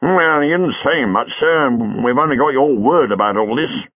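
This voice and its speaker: A man's voice: medium pitch, expressive, normal speed, a bit bright, dry recording, muffled recording, pitched above the performer's own voice. A man doing a stolid police sergeant. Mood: dogged, patient. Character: stolid middle-aged Victorian police sergeant, patient.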